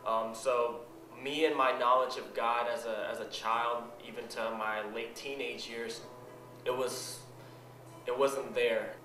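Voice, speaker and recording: A young man from the Philippines giving an earnest personal testimony, halting and repeating words as he goes. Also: mid-range voice, steady tone, bright, forceful tone. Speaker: church member telling his story. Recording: roomy sound with music behind.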